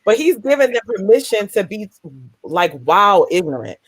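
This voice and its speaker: Woman panelist, deep voice, candid and cutting, dry sound, video call. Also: sing-song, normal speed, no echo, low-quality recording. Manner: candid, blunt, salty humor. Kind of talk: casual discussion.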